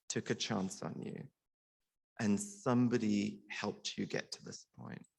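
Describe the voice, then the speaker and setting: A man's voice: lowish voice, creaky, poor audio. A middle-aged man, a surgeon, giving a personal, informal conference speech in an earnest manner, sometimes stammering and repeating words.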